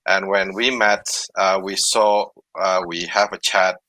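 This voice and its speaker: A man's voice: deep voice, level tone, measured pace, bright, forceful tone, no room sound, poor audio. A man from Indonesia, a startup co-founder recounting his work on a panel. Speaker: startup co-founder and former university researcher. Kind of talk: panel talk, telling how his company began.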